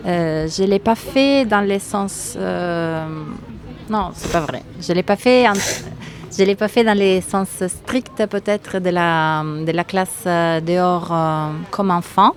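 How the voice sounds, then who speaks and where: Italian-accented woman, lowish voice, thoughtful and halting, interview guest. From Italy. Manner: hesitant, thoughtful, many fillers. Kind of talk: casual interview answers.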